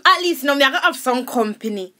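An actress with a Jamaican accent playing an eager, chattering young daughter in a radio drama. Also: female voice, high-pitched, lively intonation, brisk. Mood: excited. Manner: eager, bubbly. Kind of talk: radio drama acting in Patois. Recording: noisy radio drama recording, clicks.

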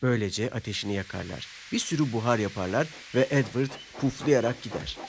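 A man's voice: lowish voice, brisk, gravelly, noisy background. A man reading scripted narration for a children's story. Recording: music behind the voice.

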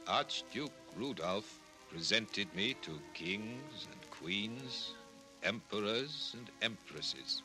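A male actor playing a lonely, brooding composer voicing a letter in a solemn, measured way for a 1960s children's record. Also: lowish voice, rough recording.

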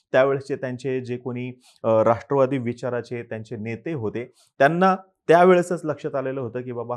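A young man hosts a scripted news explainer. His voice sits at an ordinary middle pitch. He keeps a steady explanatory pace and stops now and then on a brief filler. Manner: steady explanatory delivery with occasional filler pauses.

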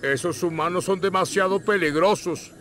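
A fussy, melodramatic little crab from an animated game. His voice is extremely high and forceful, and he carries on theatrically like a bossy, anxious maestro. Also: normal speed. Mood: worried, bossy. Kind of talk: animated video game voice acting.

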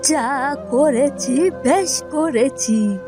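An elderly mother from a village fairy tale cartoon. She is querulous and quick to take offence, with a high voice and very slow, drawn-out delivery. Faint music plays behind her. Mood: hurt, scolding. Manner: scolding, drawn-out complaining. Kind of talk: animated fairy tale acting.

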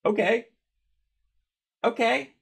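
A man in his thirties reading fan comments aloud on his channel, rambling and swearing, with a stutter he mentions himself, recorded by a webcam in a dark room at home. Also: very high voice, slow pace, creaky, breathy edge. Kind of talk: casual reaction commentary.

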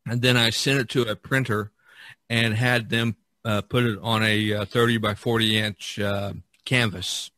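A middle-aged or older man, a painter and podcast host, talking casually about art in a halting, searching way. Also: on the low side, little pitch movement, bright, forceful tone, no room sound.

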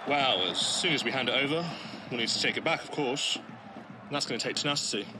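A man's voice: medium pitch, fairly quick, crowd noise, natural voice. A man playing an earnest, matter-of-fact male sports pundit in an audio story. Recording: noisy outdoor ambience behind voice.

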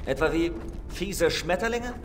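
An actor playing a young, wisecracking soldier squadmate in a video game. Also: male voice, a little high, animated, unhurried, noisy background. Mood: wry. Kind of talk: video game voice acting, German dub.

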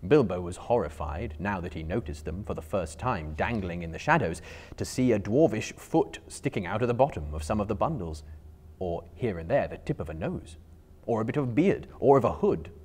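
Theatrical male storyteller with lively intonation. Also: on the low side, normal speed. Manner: theatrical, emphatic, carefully phrased. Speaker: storyteller performing a dramatic reading. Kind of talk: dramatic storybook narration. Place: indoor bookstore room lined with shelves.

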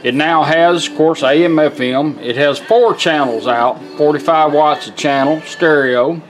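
A folksy man walks through a product demonstration in an informal, plain-spoken way. His voice sits a little higher than most men's. There is faint music in the recording. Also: a little slow, rough recording. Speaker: presenter, car radio restorer. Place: indoor workshop bench.

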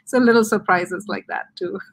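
A woman's voice: mid-range voice, moderate pace. Middle-aged woman, reflective, searching for words, laptop mic on a video call. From India. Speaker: guest author. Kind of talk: casual video-call conversation. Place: home room with bookshelves.

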